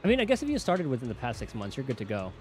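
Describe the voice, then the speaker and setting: A man's voice: medium pitch, huge pitch swings, racing, a little mellow, soft background music. A man streaming a video game, giving casual commentary and reading dialogue aloud.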